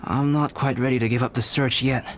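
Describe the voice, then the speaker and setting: Man voicing a calm, aloof young scholar. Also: mid-range voice, heavy background noise, close to an everyday voice. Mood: calm, detached. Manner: measured, detached, formal. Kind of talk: video game voice acting.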